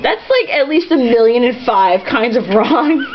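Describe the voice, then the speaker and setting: A woman's voice: a little high, expressive, a little slow. Sarcastic woman reading aloud, lo-fi sound. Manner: sarcastic, foul-mouthed, giggly; tipsy drinking-game reader. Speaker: host reading a novel aloud with mocking commentary. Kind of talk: casual comic read-aloud with sarcastic asides. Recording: dull lo-fi with faint hiss.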